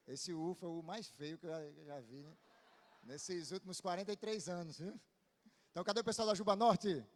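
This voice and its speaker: A man preaches in a northeastern Brazilian accent, with a voice a little higher than usual for a man. He speaks to the congregation informally, as a pastor, often tagging his statements with small questions. The sound carries the long reverb of a big hall. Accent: northeastern Brazilian, from Paraíba. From Paraíba, northeastern Brazil. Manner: informal, often seeks response with short tag questions. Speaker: visiting Baptist pastor preaching. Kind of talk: sermon to a congregation. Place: church pulpit, amplified in a large hall.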